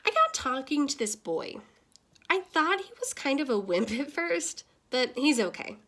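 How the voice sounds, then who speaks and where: A woman's voice: high voice, very animated, measured pace, a bit bright, a little hiss or hum, voice pushed up, exaggerated voice. A woman reading as a cheerful, cheeky young girl in a storybook, chatty and frank.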